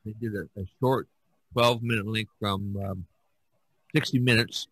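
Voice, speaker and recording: Man, podcast host, rambling casual talk, low pitch, dry sound. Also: steady tone, normal speed, a bit bright, husky, dry recording. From United States. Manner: rambling, with many fillers and restarts.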